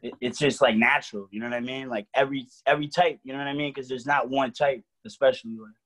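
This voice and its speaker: A man's voice: medium pitch, quick pace. A man who raps, with a New York accent, talking loosely in slang on a video call. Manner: relaxed slangy talk, "you know what I mean". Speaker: rapper guest. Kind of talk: casual video call chat.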